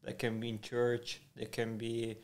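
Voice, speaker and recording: Male interview guest, mid-pitched, hesitant, short answers. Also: monotone, unhurried, a little mellow. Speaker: podcast guest. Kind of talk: casual interview.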